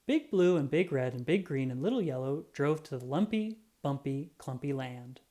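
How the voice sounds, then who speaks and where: A woman reading a children's book aloud in an animated, expressive storytelling style. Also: extremely low pitch. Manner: expressive, drawn-out emphasis on sound words.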